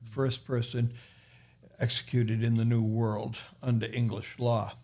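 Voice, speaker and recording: A man's voice: medium pitch, little pitch movement, unhurried, a little mellow. A man with a Boston accent, a podcast guest and author, talking in a casual chat with many restarts and fillers.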